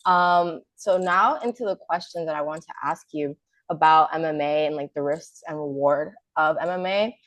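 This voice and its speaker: A woman's voice: low voice, normal speed, fairly bright tone, dry recording. Upbeat woman interviewer, dry sound. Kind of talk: casual interview.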